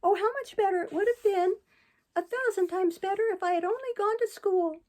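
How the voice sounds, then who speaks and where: A woman voicing a cheeky wooden puppet boy in a storybook reading, speaking in a high, piping voice. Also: moderate pace. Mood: tearful despair.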